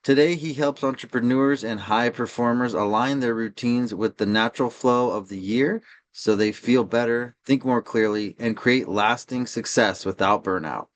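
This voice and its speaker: Mid-pitched man reading a podcast introduction. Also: moderate pace. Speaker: podcast host. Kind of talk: scripted guest introduction.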